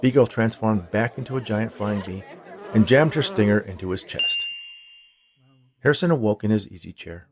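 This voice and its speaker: Low, gravelly male story narrator. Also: normal speed, crowd noise.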